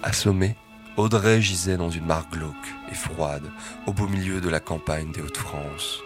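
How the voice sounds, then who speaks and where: A man's voice: low voice, moderate pace, music in the background, lightly acted. An actor as a grave, mock-epic male storyteller narrating an audio drama. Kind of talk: solemn scripted drama narration.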